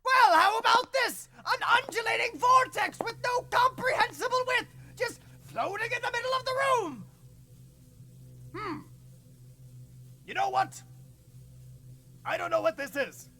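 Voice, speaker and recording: Shrill, manic cartoonish supervillain. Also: male voice, expressive, measured pace, pauses often, exaggerated voice. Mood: showing off. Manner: bombastic, theatrical shouting. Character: manic, boastful mad-scientist supervillain ruler of pandas. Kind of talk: comedic audio drama.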